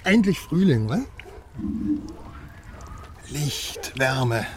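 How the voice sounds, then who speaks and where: An actor in a radio drama playing a brash West German investigative journalist, chatty, sardonic and emphatic. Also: male voice, medium pitch, lively intonation, drawn-out words. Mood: eager, sardonic. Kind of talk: naturalistic radio drama dialogue. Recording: slightly distant voice, faint outdoor noise.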